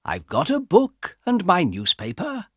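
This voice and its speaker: Man voicing a mild, quiet man in his fifties, slow musing thoughts, storybook. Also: sits high, huge pitch swings, husky, dry recording, studio quality, voice pushed up, full character voice. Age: 50s. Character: quiet, mild middle-aged man thinking.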